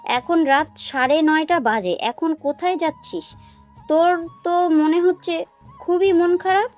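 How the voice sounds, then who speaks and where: A woman's voice: very high-pitched, measured pace, darkish tone, soft background music. A woman playing a doting, scheming middle-aged mother in an audio love story, fussing and coaxing. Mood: worried, fussing.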